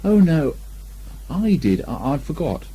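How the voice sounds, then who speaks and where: Bumbling honey-loving toy bear, done by a man: mellow, hesitant, slightly husky storybook character. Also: fairly high pitch, sing-song, unhurried, heavily acted. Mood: sheepish. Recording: lo-fi 1980 amateur recording.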